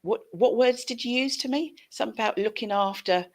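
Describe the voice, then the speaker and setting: A woman's voice: a little high, brisk. A middle-aged British woman hosting a casual online chat, effusive and familiar with her guest. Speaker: show host. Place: room at home, indoors. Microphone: headset on a video call.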